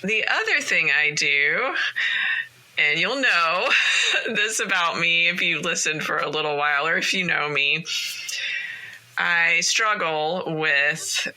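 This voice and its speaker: A woman hosting her own podcast as a life coach, talking in a calm, gentle, encouraging way while recording in a closet at home. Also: lowish voice, slow pace, poor audio. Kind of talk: casual solo podcast monologue.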